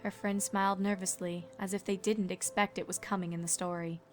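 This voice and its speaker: A woman's voice: mid-range voice, flat delivery, a little fast. A woman reading scripted third-person narration for a fan fiction story. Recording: background music under the voice.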